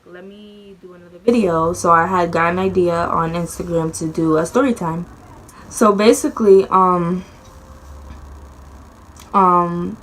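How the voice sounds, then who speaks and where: A young woman vlogger telling a childhood story in casual chat, rambling with many fillers and restarts, her voice often dropping very quiet. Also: on the low side, rough recording.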